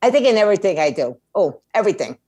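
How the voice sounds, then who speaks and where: A woman speaks as an interview guest in a conversational, emphatic way. She drives points home with repetition and rhetorical questions. The sound is dry and close, with a mid-range pitch. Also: big pitch swings, very fast, dark tone, husky, no echo. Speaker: interview guest, music attorney and label owner.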